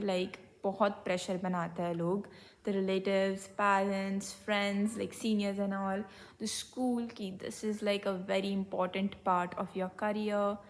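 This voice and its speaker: A young woman with an Indian English accent, a little hoarse from being unwell, telling a personal story in a candid, reflective vlog and slipping into Hindi mid-sentence. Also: medium pitch. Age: young adult. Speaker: vlogger.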